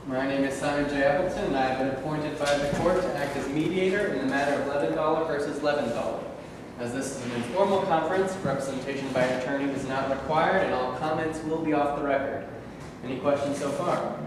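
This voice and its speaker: A man's voice: a little high, a little fast, lightly acted. A man reading the part of a formal, officious court-appointed mediator in a staged reading of a play. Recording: echoey hall from far off, background noise.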